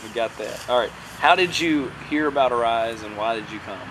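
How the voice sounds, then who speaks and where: A man's voice: medium pitch, a little fast. Man asking questions, traffic noise. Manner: relaxed, brief prompts. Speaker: interviewer. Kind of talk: casual interview questions. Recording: clear outdoor traffic noise.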